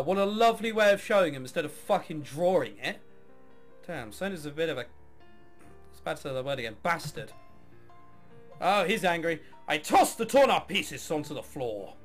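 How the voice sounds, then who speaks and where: A man reading a visual novel aloud, mixing dramatic narration with casual, offhand commentary. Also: on the high side. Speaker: video game let's player.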